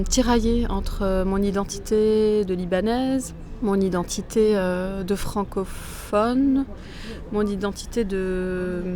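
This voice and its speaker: A woman from Lebanon, a comics author, gives thoughtful interview answers and often pauses to search for words. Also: mid-range voice, a little mellow. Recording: noisy outdoor street ambience. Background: street ambience and passing vehicles.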